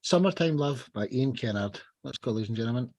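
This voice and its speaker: A man's voice: medium pitch, lively intonation, fast. Upbeat man hosting a podcast. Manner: upbeat, friendly introductions. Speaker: podcast host.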